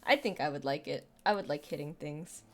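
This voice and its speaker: A woman's voice: low-pitched, fairly quick. A young woman with a youthful, girlish voice, chatting casually on a live stream, rambling and self-deprecating with lots of restarts and fillers.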